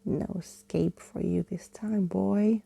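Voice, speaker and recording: A woman's voice: mid-range voice, fairly even intonation, takes it slowly, vocal fry, dark tone, breathy edge, no room sound, exaggerated voice. A woman voicing a menacing male clown villain from a children's storybook in a growly whisper.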